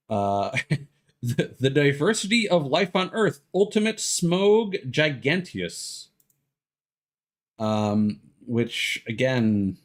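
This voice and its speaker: A man doing casual, rambling livestream commentary over a video game, thinking aloud as he goes. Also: mid-range voice. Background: music behind the voice.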